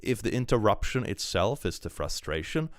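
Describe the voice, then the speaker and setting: Low-voiced lively male streamer. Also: normal speed, dry recording, studio quality. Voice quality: powerful voice. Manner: animated, jumps into high excited exclamations. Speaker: streamer reading and reacting to online posts. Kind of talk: casual live-stream reading and commentary.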